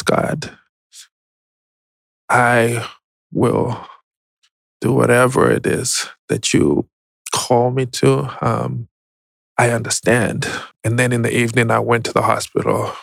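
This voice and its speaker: A man tells a personal story as an interview guest, reflective and hesitant, pausing often. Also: medium pitch, gravelly, no echo. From Zimbabwe. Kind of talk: personal testimony, conversational storytelling.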